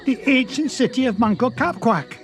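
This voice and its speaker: A man playing an elderly, gruff, treasure-hungry duck uncle with a Scottish accent in a cartoon game. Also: extremely high pitch, lively intonation, normal speed. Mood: excited, awestruck. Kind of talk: animated cartoon game acting. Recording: music behind the voice.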